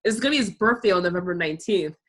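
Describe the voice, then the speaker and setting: A woman's voice: fairly low pitch, very fast. Chatty woman host full of "like". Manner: animated, heavy use of "like". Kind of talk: casual chat.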